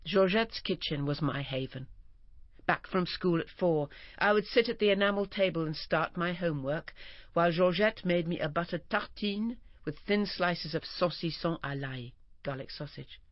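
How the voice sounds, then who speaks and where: A woman narrating a first-person memoir expressively, turning breathy and whispered at moments of feeling. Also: fairly low pitch, normal speed, dry recording. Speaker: audiobook narrator. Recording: dull, dry recording.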